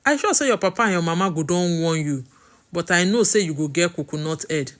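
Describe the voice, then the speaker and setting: A woman's voice: fairly low pitch, lively intonation, a little fast, close to an everyday voice. Woman acting out a menacing Nigerian farm boss. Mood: scornful, angry. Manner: scolding, speaks Nigerian Pidgin. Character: stern, menacing Nigerian farm owner, mocking and bullying man.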